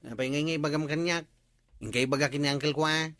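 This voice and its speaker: A village captain's nephew in a radio comedy , acted by a man, talks back reluctantly. His voice is bright and somewhat high. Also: fairly even intonation, quick pace, natural voice. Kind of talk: Ilocano radio comedy drama acting.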